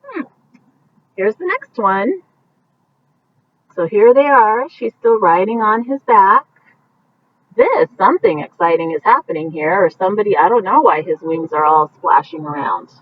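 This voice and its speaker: A woman reads a bedtime picture book at a slow pace. She pauses for casual, gentle asides about the pictures. The recording is muffled and picked up from some distance, with faint noise behind it. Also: medium pitch, animated. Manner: gentle explaining, pointing out pictures as to young children. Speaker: storybook reader.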